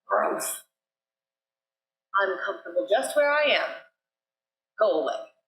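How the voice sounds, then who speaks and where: A woman's voice: medium pitch, animated, slow pace, a bit bright. A woman voicing a giant, sleepy elephant who groans and dismisses others grumpily in a storybook tale. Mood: grumpy, sleepy. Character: huge, sleepy, grumpy elephant, stubbornly comfortable. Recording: roomy sanctuary echo.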